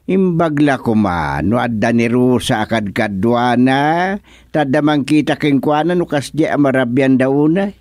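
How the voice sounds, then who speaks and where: Guy playing a gossipy older ilocano village husband in a radio comedy, slow talker, dry studio sound. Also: medium pitch, animated, a little mellow, no room sound, lightly acted. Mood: blunt.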